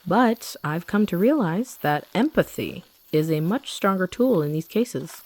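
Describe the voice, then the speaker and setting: A woman acting as a young female hunter who has turned rebel, speaking in a low register. She is gentle and confiding, carrying guilt, in the intimate manner of roleplay audio drama. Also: animated, a little slow. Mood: calm, teasing. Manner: soft, confiding, addressing the listener directly.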